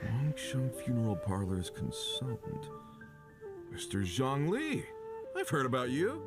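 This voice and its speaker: A man's voice: mid-range voice, big pitch swings, unhurried, over music. A man voicing a hearty, confident working man in a video game, folksy and talkative. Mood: welcoming. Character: Uncle Dai, hearty, confident working man, proud of his assistant.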